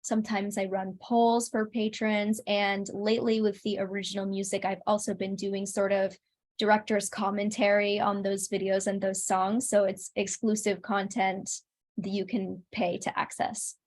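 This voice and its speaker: A woman's voice: mid-range voice, little pitch movement, moderate pace. A young adult woman speaking as an interview guest, explaining things casually in orderly, articulate sentences. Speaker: interview guest, singer and video creator.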